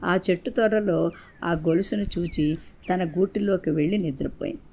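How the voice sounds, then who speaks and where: Low-pitched woman storyteller. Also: normal speed, vocal fry, warm tone, slightly rough. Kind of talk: scripted moral folktale narration for children.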